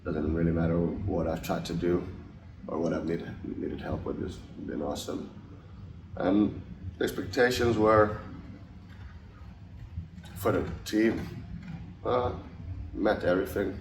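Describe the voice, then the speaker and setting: A young man from Finland talks in a deep, gravelly voice, answering interview questions with a relaxed, halting manner. The mic sits some way off in an echoey space with clear background noise. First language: English and Finnish. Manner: relaxed, hesitant, many fillers and restarts. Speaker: ice hockey forward, interviewee. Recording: roomy, distant mic, noisy.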